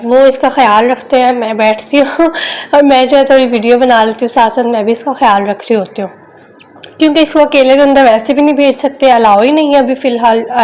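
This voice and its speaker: A woman's voice: on the high side, a little mellow. A young woman, chatting casually in a homely vlog voice-over while nursing a sore throat. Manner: chatty, homely, frequent filler phrases. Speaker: vlogger.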